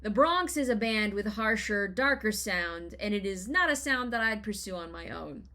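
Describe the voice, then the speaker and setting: A woman in her thirties with an American accent, reading a scripted first-person essay in an expressive, wry storytelling manner. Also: mid-range voice, normal speed. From Los Angeles, United States. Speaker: writer reading their own personal essay.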